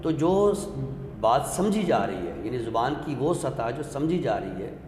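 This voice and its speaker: A man giving an explanatory literary talk, deliberate and didactic, repeating his points for clarity. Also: mid-range voice, sing-song, a little mellow. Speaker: literary expert guest on a discussion show. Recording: echoey hall-like room with noise.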